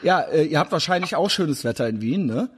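Husky chatty man in his forties. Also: a little high, lively intonation, a little fast. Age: 40s. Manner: chatty, rambling with many fillers. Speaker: interviewer. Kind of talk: casual interview over video call.

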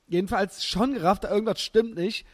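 A German man in his thirties hosting a podcast, chatting casually and telling animated, slangy stories with frequent laughter. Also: high voice, normal speed. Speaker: podcast host. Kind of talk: casual chat.

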